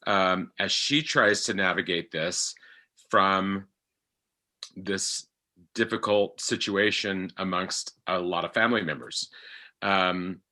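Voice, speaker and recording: A middle-aged man talking reflectively in conversation, halting often as he searches for his words. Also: deep voice, pushed and bright. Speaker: podcast actor and guest.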